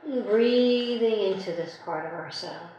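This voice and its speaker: A woman leading a guided meditation, speaking gently and unhurriedly in short phrases with long pauses. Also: medium pitch, dull audio. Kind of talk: guided meditation, soothing slow instruction. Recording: very echoey room, faint background noise.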